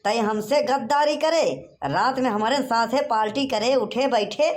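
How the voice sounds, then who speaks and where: A man's voice: very high voice, a little slow. A man voicing a blustering village husband in a cartoon, in a rustic Awadhi accent from eastern Uttar Pradesh. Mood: angry, accusing. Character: blustering village husband searching for his lost goat. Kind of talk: cartoon dialogue in rustic dialect.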